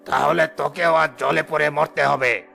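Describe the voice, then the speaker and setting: Gravelly, solemn village exorcist played by a man. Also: on the high side, steady tone, a little slow, bright, forceful tone, soft background music, heavily acted. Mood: stern challenge. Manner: grave, authoritative, reassuring to the frightened. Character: solemn, stern male village fakir exorcist. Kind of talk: cartoon horror acting.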